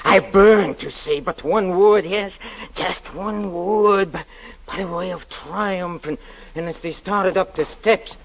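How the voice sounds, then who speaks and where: An actor playing a guilt-haunted, gloating wife-killer in 1940s radio horror style, halting and stammering. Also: male voice, high-pitched, slight background noise. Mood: feverish, gloating. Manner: halting, stammering, with nervous chuckles and repeated words. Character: guilt-haunted man, a wife's murderer, unhinged and gloating. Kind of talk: 1940s radio horror acting, first-person narration. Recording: muffled old 1940s radio recording.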